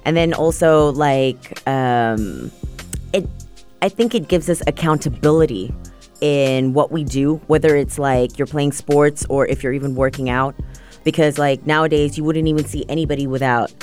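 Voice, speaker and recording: A woman's voice: low-pitched, normal speed, a little mellow, faint music behind. A woman from Malaysia, a fitness athlete, talking as a guest in a radio studio in a chatty, playful way. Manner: chatty, playful, with frequent fillers and laughs. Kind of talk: radio interview.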